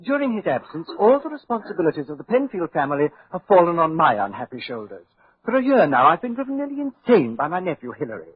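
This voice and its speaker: An indignant, anxious lord of middle age. His voice is husky and very animated, with melodramatic 1940s radio delivery on a muffled old recording. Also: on the high side, fast. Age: middle-aged. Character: agitated middle-aged English lord, distraught and indignant.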